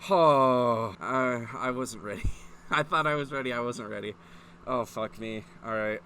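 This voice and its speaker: A man's voice: medium pitch, unhurried, pushed and bright, a little hiss or hum. A man doing a casual comedic reading, profane and mock-exasperated, prone to breaking into laughter as he reacts. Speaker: host of a comedic fan fiction reading.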